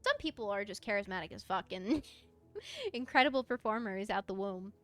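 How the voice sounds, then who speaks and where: A woman chatting casually on a livestream as she plays, answering viewers in an expressive, encouraging, effusive way with a mezzo voice. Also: mid-range voice, normal speed, faint music behind. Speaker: VTuber live streamer. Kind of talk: casual livestream chat while gaming, answering viewer comments.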